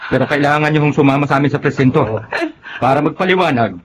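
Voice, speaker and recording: A man's voice: on the high side, quick pace, noisy background, close to an everyday voice. A man playing a polite but firm police officer, formal, in a Tagalog radio drama.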